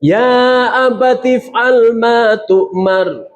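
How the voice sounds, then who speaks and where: A man's voice: very high-pitched, extremely slow, highs cut off. A middle-aged man, preaching a sermon as a story, acting out the voices he quotes and putting questions to the audience.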